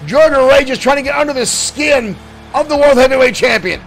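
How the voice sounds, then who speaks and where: A man doing excited play-by-play commentary for a digital wrestling show, shouting at big moments and losing his voice. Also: very high-pitched, a little fast, creaky, faint music behind.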